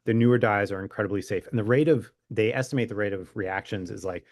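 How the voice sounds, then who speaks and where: Low-voiced man explaining things, dry close recording. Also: fast, no room sound. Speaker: physician guest expert. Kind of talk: conversational expert explanation in an interview.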